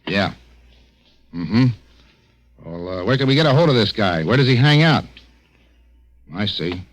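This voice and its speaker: An actor playing a tough, streetwise police detective in a 1940s radio crime drama, clipped, commanding and slangy. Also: male voice, fairly low pitch, lively intonation, unhurried. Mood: businesslike, insistent. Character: tough, streetwise adult police detective, brusque but loyal. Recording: vintage 1940s radio recording with music behind.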